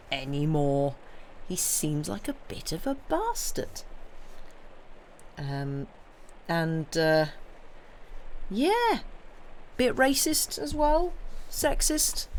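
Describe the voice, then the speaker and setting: A woman's voice: deep voice, slight background noise, rough recording. A woman chatting casually to live stream viewers as host, informal and hesitant as she thinks aloud.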